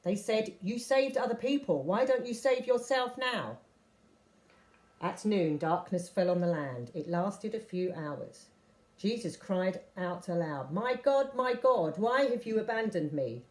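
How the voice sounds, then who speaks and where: A woman reading a Bible story aloud as scripted narration, with expressive delivery of quoted speech. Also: mid-range voice, measured pace. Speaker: story reader.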